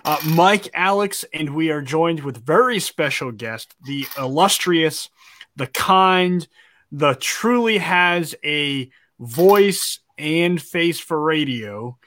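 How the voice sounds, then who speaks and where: A man's voice: a little high, expressive, slow, fairly bright tone. A man co-hosting a live talk show, opening with teasing, jokey banter. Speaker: podcast co-host.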